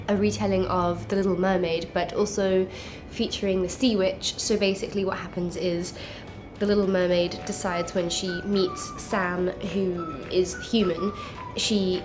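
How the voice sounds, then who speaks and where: Congested woman with a South African-English twang. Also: mid-range voice. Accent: mix of South African and English. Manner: chatty, enthusiastic, rambling. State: congested with a cold, nasal. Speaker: book vlogger. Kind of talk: casual book chat to camera.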